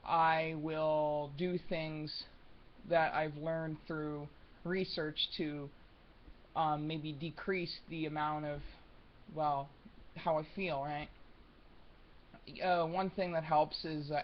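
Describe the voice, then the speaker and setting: A young man with a high, soft voice talking unscripted at home, rambling with many ums and restarts. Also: a little hiss or hum. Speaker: vlogger. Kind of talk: unscripted casual monologue. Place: room at home. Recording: muffled lo-fi home recording.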